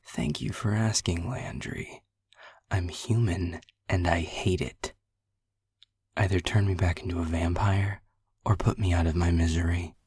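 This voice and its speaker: A man as a bitter, very raspy whispering ex-vampire. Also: sits low, steady tone, unhurried, full character voice. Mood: bitter, indignant. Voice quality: very breathy, hushed rasp. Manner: sullen, sardonic. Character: bitter, whining male former vampire, unwillingly made human. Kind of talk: roleplay audio drama.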